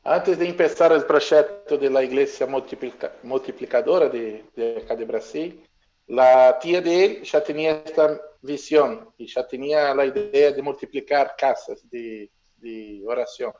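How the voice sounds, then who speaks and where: Halting interpreter man, dull sound. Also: mid-range voice, darkish tone. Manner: mixes Spanish and Portuguese, halting. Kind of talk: consecutive interpreting.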